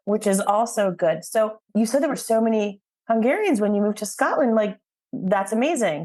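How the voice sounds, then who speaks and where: A woman with a medium-pitched voice interviews as a podcast host. She speaks conversationally, offering affirmation and recaps as she leads into questions. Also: darkish tone. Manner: affirming, sums up before asking questions.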